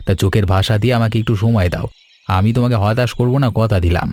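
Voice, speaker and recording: A man's voice: lowish voice, fast talker, a little mellow, dry recording, natural voice. A man voicing an earnest, reassuring grown son in a cartoon story. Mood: earnest, pleading. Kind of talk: animated story voice acting.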